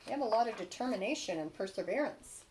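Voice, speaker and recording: Animated woman reading a children's story. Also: mid-range voice, poor audio. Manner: emphatic, dramatic pauses and stresses. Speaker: storybook reader. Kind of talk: expressive children's story read-aloud.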